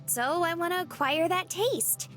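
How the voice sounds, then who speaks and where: A woman voicing a sweet, sleepy young woman in a visual novel, with a soft, girlish, drawn-out delivery. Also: very high-pitched. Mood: sweet, eager. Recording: background music under the voice.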